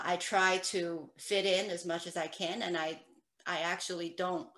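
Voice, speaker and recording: A woman's voice: fairly low pitch, fairly bright tone. A middle-aged woman raised in Taiwan with a Taiwanese accent, an attorney speaking earnestly on a video call from home. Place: home room with piano. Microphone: video call webcam. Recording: echoey room.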